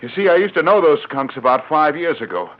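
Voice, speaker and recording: An earnest cowboy who is tired of the outlaw life. His voice sits a little high, his talk is folksy and frank, and the old radio western recording is dull. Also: sing-song, fairly quick. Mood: wry, frank. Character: weary reformed outlaw cowboy, earnest, plain-spoken. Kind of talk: 1940s radio western acting. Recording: muffled 1940s radio recording.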